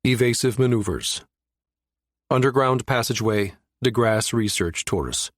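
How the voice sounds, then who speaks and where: A man's voice: mid-range voice, unhurried, no room sound, clean studio sound. A man reading a science fiction audiobook as scripted narration.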